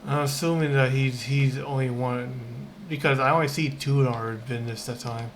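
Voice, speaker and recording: A male game streamer talks casually in a mid-pitched voice, thinking aloud in halting phrases. Clear background noise from the game sits behind his commentary. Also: steady tone. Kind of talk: casual let's-play commentary. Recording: noisy mic, game audio behind.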